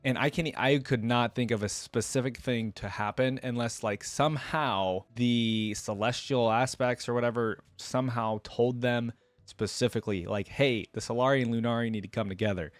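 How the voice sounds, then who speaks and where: A man's voice: mid-range voice, steady tone, normal speed, no room sound, soft background music. A man giving casual, slangy fan commentary as the host of a reaction video. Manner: informal, slangy fan talk.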